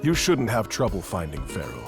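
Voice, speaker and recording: A man playing a calm, wise martial arts mentor in a video game, speaking in a measured, instructive way. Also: sits low, full character voice. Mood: instructive, encouraging. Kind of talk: video game cutscene acting. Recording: game music behind the voice.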